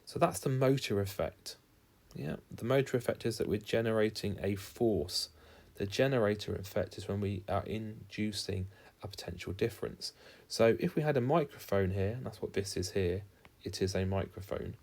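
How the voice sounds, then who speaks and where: A man's voice: fairly low pitch, normal speed, darkish tone. A man giving an explanatory lesson as a teacher, talking informally and stressing key words. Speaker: physics teacher.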